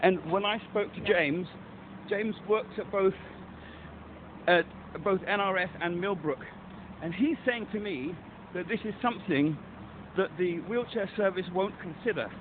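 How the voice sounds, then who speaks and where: Man, insistent caller, high-pitched, phone line, faint hiss. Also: measured pace. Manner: insistent, urgent, repeats himself. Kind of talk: phone complaint call.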